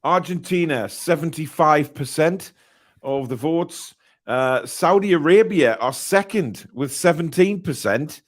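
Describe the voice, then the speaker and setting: A man's voice: a little high, expressive, unhurried. A man hosting a casual live football stream, chatty and hesitant, reading out chat messages and poll results. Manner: chatty, upbeat when reading chat and polls, hesitant fillers. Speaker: live-stream show host.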